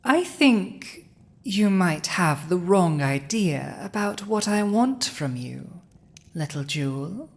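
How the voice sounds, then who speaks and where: A woman acts out a villainous dragon who rules a conquered throne. The voice sits on the low side and moves very slowly, each phrase deliberate. It is commanding and possessive, with a teasing edge, as intimate fantasy audio roleplay. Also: very animated, full character voice. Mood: coolly teasing. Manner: slow, deliberate, dominant, teasing.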